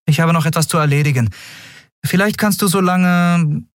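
A man voicing a kindly female airship captain in an audiobook, brisk and informal in manner. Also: on the high side, dry recording, clean studio sound, pitched above the performer's own voice, lightly acted.

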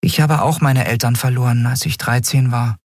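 Man as tiny winged woman, raised pitch, friendly, reassuring, husky, fantasy audiobook. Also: steady tone, normal speed, darkish tone, dry recording, clean studio sound, natural voice. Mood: sympathetic. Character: tiny winged fairy-like woman, cheerful and comforting.

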